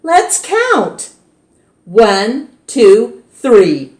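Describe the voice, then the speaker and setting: A woman's voice: a little high, huge pitch swings, drawn-out words, a little mellow, pitched above the performer's own voice, full character voice. A woman reading as a cheerful rhyming teacher. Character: cheerful rhyming female schoolteacher. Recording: roomy echo.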